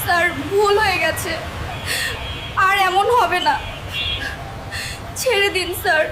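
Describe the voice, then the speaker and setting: A woman's voice: extremely high pitch, fairly even intonation, slow pace, background noise. A woman playing a meek, wronged young sister with a pleading, plaintive manner in a dramatised moral story.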